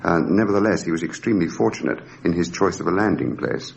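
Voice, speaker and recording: A man giving a measured, precise technical explanation in a formal, academic way, as an expert on a radio documentary. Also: deep voice, animated, creaky, muffled recording. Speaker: scientific expert interviewee. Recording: noisy archive radio recording.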